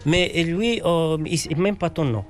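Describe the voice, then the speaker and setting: A man's voice: fairly high pitch, animated, measured pace, soft background music. A man whose first language is Arabic, a playwright answering interview questions in a hesitant way, with many fillers and restarts. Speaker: playwright guest.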